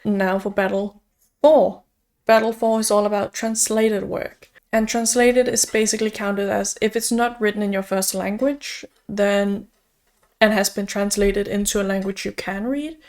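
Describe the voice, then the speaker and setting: A young woman whose first language is Danish talks through her reading plans in a loose, upbeat vlog chat. Her voice sits at an ordinary middle pitch for a woman, and she leans on little fillers as she goes. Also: steady tone, unhurried, darkish tone. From Denmark. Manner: chatty, upbeat, uses fillers like "like" and "so". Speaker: book vlogger. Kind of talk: casual chat.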